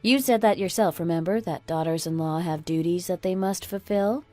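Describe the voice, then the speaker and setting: A woman playing a fed-up, sharp-tongued married woman in a radio drama, speaking in an emphatic, scornful, lecturing manner. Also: on the low side, moderate pace, faint music behind, close to an everyday voice. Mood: scornful, triumphant. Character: fed-up, sharp-tongued oldest daughter-in-law, a divorcing breadwinner. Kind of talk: scripted radio drama acting.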